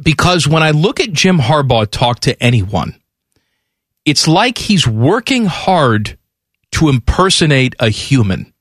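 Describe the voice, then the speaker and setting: A man's voice: a little high, sing-song, unhurried, a bit bright, slightly rough, dry recording, clean studio sound. A man hosting a sports talk show, speaking in casual, bantering conversation. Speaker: sports radio host.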